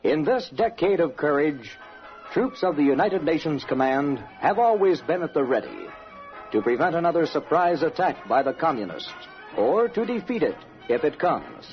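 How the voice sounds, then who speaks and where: A man delivers scripted radio documentary narration in a solemn, dramatic way. His voice sits a little high and moves widely in pitch. The sound is a muffled vintage broadcast with music behind it. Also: big pitch swings, normal speed. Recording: dull old radio recording.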